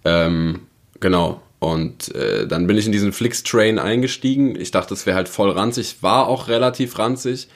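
Laid-back young man, low voice. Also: moderate pace. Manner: loose and rambling with many fillers. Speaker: podcast co-host. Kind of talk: casual chat and storytelling.

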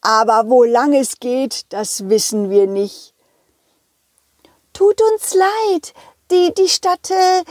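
A woman voicing a hesitant, apologetic bookworm parent in a children's audio play, with halting, drawn-out speech. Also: high-pitched, dark tone, no room sound, studio quality, pitched above the performer's own voice.

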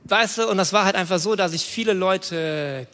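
High-pitched young man preaching in a reverberant hall. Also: normal speed, bright, forceful tone. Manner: colloquial, hesitant, groping for words. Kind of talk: informal youth sermon with personal testimony. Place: large room, church service on stage. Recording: echoey hall, music behind. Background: music.

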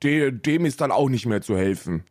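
A man chatting comically on a podcast, halting and rambling, dropping in bits of English. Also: mid-range voice, expressive, a little mellow, no echo, studio quality. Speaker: podcast co-host. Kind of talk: casual comedic podcast banter.

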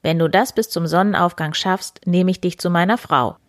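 A woman's voice: on the low side, moderate pace, no echo, studio quality, lightly acted. Woman doing a greedy commanding king. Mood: demanding.

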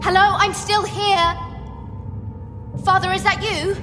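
An actress playing a stubborn young daughter in an audio drama. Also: female voice, very high-pitched, little pitch movement, slow, in a large hall, loud music behind the voice. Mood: frightened, searching.

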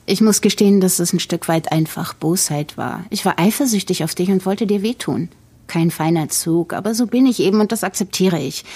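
A woman's voice: on the low side, mellow, husky. A middle-aged actress playing a cold, snobbish, scheming female politician in an audio drama, with a condescending, matter-of-fact delivery. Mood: cool, smug.

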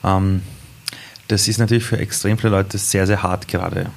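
A man's voice: deep voice, flat delivery, moderate pace, creaky. A man hosting an interview, engaged and often speaking directly to viewers and listeners. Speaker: interview host.

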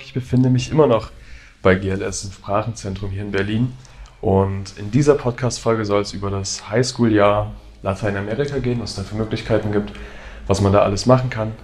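A man hosting a podcast interview, asking measured questions with occasional restarts. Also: lowish voice, steady tone, darkish tone. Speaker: podcast host. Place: language school room. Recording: roomy echo, faint background noise.

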